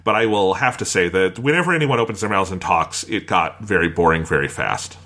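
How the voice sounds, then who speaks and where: A man's voice: low voice, lively intonation, fairly quick, pushed and bright. A man talking casually as a podcast co-host, giving opinionated and blunt reviews.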